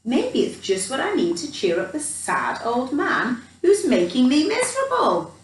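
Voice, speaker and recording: A woman's voice: sits high, huge pitch swings, unhurried, pitched above the performer's own voice, heavily acted. A woman voicing a cheeky, impatient but kind female mouse in a children's picture book, with a perky delivery. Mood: fed up. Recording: roomy echo, distant microphone.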